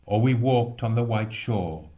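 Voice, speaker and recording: A man reading a literary story expressively, with measured, lyrical phrasing. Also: lowish voice, animated, mellow. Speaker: reader.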